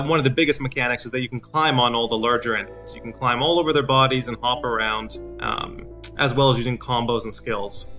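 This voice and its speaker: A man in his thirties hosting a game walkthrough, explaining things in a halting way. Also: mid-range voice. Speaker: video series host. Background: game music.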